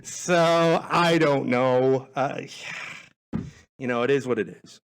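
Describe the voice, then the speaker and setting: A man's voice: medium pitch, slow, clean tone. A man hosting a podcast in rambling casual chat, self-deprecating and prone to swearing. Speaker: podcast host.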